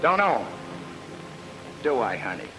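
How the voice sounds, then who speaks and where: An actor playing a hot-tempered, suspicious gangster boss, slangy, scornful and menacing, in an old radio noir drama. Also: male voice, mid-range voice, sing-song, unhurried, low-quality recording. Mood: menacing, mocking. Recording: old radio recording, music underneath.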